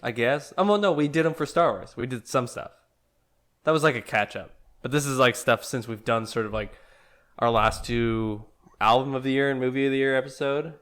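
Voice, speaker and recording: A man co-hosting a podcast in casual chat, relaxed and teasing, halting a little as he brings up news. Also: medium pitch, clear, resonant tone. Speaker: podcast co-host.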